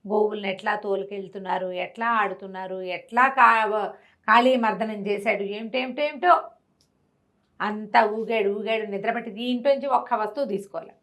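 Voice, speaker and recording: A woman telling a devotional story in lively, conversational Telugu speech, often repeating words and restarting. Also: moderate pace. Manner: lively, rises and drops in pitch and volume.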